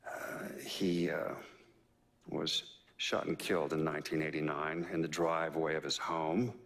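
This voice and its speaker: An older actor playing a measured investigator in a game's mock documentary interview, matter-of-fact and hesitant. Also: male voice, rumbling low, level tone, fairly bright tone, exaggerated voice. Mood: grave, measured. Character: older man, measured investigator recalling a case.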